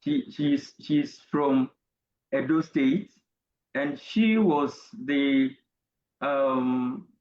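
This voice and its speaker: A middle-aged Nigerian man, an interview guest, telling a personal story in a deliberate, halting academic way. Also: mid-range voice, lively intonation, echoey room, highs cut off. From Nigeria, Ogun State. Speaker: interview guest, university professor.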